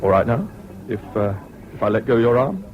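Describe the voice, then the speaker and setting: An actor playing a sensible, steadying friend with an English accent, brisk, firm and dryly reassuring, in a British radio drama. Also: male voice, mid-range voice, very animated, natural voice. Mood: cheering, reassuring. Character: Henry Payne, sensible, steadying male friend. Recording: dull old radio recording, faint crowd and noise.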